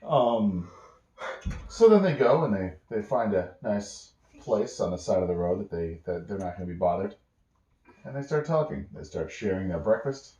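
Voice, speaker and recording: Deep-voiced man telling a bedtime story. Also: big pitch swings, mellow, lo-fi sound. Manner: halting, casual, pauses mid-sentence. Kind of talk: improvised bedtime storytelling with acted dialogue. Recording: roomy, echoey home recording.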